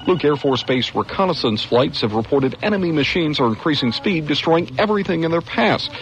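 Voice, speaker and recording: An actor playing a radio news anchor, reading bulletins briskly. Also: male voice, a little high, expressive, a little hiss or hum, rough recording. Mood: urgent.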